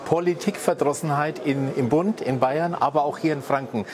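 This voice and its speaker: A man's voice: a little high, moderate pace, crowd noise. A middle-aged man interviewing a guest and reading formal, prepared questions into a handheld mic in a showroom corner. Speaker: interviewer for a local channel. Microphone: handheld branded mic.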